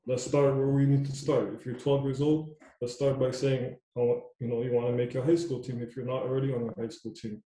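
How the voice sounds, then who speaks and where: A man's voice: medium pitch, level tone, a little fast, hardly any pauses, dark tone, reverberant, low-quality recording. A young man coaching youth basketball, talking casually in a loose, repetitive way. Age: young adult. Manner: loose, repetitive, says "you know what I mean" a lot. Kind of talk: casual podcast chat.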